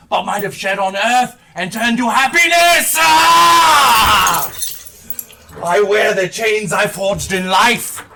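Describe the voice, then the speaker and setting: A man's voice: high-pitched, creaky, full character voice. A man on stage playing the tormented, mournful and stern ghost of a dead businessman, declaiming theatrically. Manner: slow, declamatory, breaking into anguished shouts and wails. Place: theatre stage, recorded live. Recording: distant live stage play recording.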